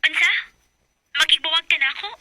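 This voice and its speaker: A woman's voice: very high voice, fairly even intonation, a little fast, bright, forceful tone, faint music behind, exaggerated voice. An actress with a Cebuano accent playing a hurt young girlfriend in a radio drama. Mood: hurt, upset.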